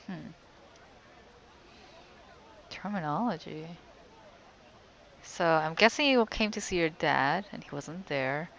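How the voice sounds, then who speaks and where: A woman's voice: low voice, animated, slow, vocal fry, long pauses, fairly bright tone, muffled recording, slight background noise. A young woman giving casual let's play commentary as a game streamer, often murmuring to herself.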